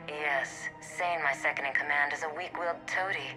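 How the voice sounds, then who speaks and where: A vengeful, sadistic mercenary queen in a sci-fi game. Her low, cutting voice gloats and threatens with open contempt. Faint music sits underneath. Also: steady tone, measured pace, sharp tone, low-quality recording. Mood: contemptuous, commanding. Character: sadistic, unhinged, vengeful imprisoned woman mercenary boss. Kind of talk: dramatic video game dialogue.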